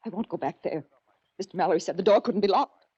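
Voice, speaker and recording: Frightened, highly strung radio melodrama heroine, husky. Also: female voice, darkish tone. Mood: pleading, panicked. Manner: breathless, pleading, halting. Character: frightened, fragile, highly strung woman trapped aboard yacht. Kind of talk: 1940s radio melodrama acting. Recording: dull, muffled old radio recording.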